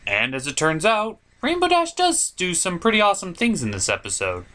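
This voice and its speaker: A man's voice: high-pitched, wildly swooping pitch, brisk, bright, forceful tone. A man hosting a comedic cartoon review, narrating from a script in a theatrical, playful way. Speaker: host and reviewer.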